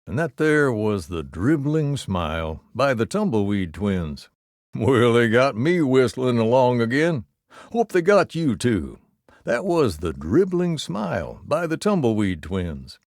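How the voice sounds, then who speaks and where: An actor playing an easygoing, wry cowboy country radio DJ in a video game, with a folksy American Southern or Western drawl. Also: male voice, medium pitch, big pitch swings, unhurried, a little mellow, no room sound, clean studio sound. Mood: easygoing, cheerful. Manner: folksy drawl, wry, song-announcer cadence. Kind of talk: scripted country radio DJ patter.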